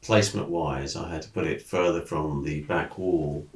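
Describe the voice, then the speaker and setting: A man presenting a product review off the cuff, explaining technical detail in a measured way with pauses mid-sentence. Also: rumbling low, fairly even intonation. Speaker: audio equipment reviewer. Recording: echoey room.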